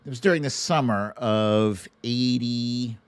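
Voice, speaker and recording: A middle-aged American man hosting a show, talking casually and emphatically in short, deliberate phrases as he gives commentary and tells stories. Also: mid-range voice, clean tone, no room sound. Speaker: show host. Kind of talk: casual monologue, commentary and storytelling on a livestream.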